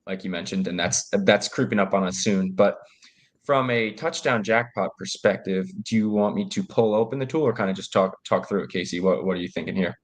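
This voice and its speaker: A young adult man co-hosting a casual sports betting chat, nasal from a cold, explaining things in a relaxed way with many restarts. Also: low voice, a little fast. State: nasal from a cold, slight cough. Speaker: co-host.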